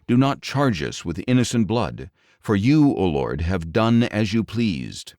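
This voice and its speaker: A man's voice: fairly low pitch, very animated, unhurried, a little mellow, no room sound, studio quality. A man voicing alarmed, demanding ancient sailors in an urgent manner for a dramatic scripture audiobook. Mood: pleading.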